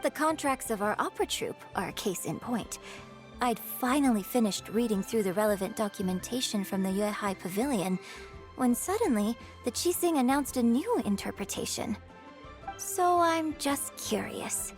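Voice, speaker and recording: A young opera performer from a video game, courteous and composed with a quietly cunning edge. She speaks in a slightly high, measured voice with music playing underneath. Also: expressive. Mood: politely probing. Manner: composed, courteous, feigned innocence. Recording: background music under dialogue.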